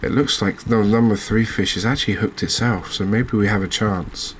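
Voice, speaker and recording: A man giving relaxed, understated ASMR-style commentary as host of a gaming channel. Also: fairly low pitch, normal speed, slight background noise.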